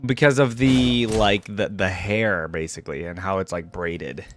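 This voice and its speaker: A man's voice: low-pitched, a little slow, fairly bright tone, slight background noise. A man hosting a casual reaction commentary, speaking informally and musing aloud. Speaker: reaction video host.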